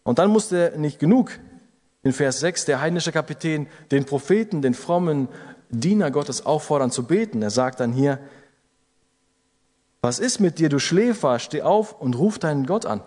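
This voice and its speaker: A man's voice: fairly high pitch, expressive, normal speed, a little mellow, big-room sound. A man preaching an earnest sermon to a congregation, building to urgent exhortations. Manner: earnest exhortation with rising emphasis.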